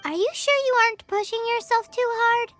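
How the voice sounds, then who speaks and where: A woman's voice: extremely high pitch, brisk, a bit bright, soft background music. A woman voicing a tiny, chirpy, nosy floating female companion in a video game. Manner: bubbly, blunt and curious.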